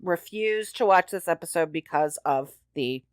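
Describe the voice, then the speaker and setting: A woman's voice: on the low side, expressive, normal speed, dry recording, clean studio sound. A woman co-hosting a podcast in casual, chatty style, repeating words for emphasis. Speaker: podcast co-host. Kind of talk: casual chat.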